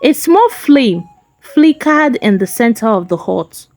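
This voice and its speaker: A woman's voice: fairly high pitch, big pitch swings, slow pace, darkish tone. A woman narrating a folktale as a storyteller, in a dramatic scripted style. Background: faint music.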